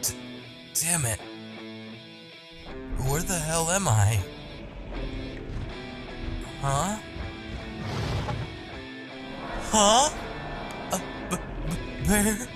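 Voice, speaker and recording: Casting: male actor as earnest, stammering young would-be bandit for comic dub, slangy, slow delivery over music. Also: fairly high pitch, very animated, full character voice. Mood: panicked. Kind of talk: manga comic dub acting. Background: music under the dialogue.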